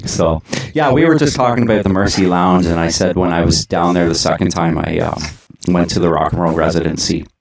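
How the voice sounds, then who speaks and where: A man's voice: deep voice, a little fast, creaky, low-quality recording. A Canadian man hosting a casual interview, laid-back and chuckling, with rambling questions. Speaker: podcast interviewer.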